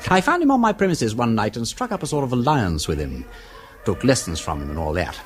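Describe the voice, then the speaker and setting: A man's voice: medium pitch, big pitch swings, brisk, natural voice. Radio drama lead: male actor as flippant aristocratic sleuth, upper-class English, extremely varied intonation, faint music. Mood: chatty, amused. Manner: breezy, flippant, urbane. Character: breezy, chatty aristocratic gentleman detective. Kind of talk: British radio drama acting.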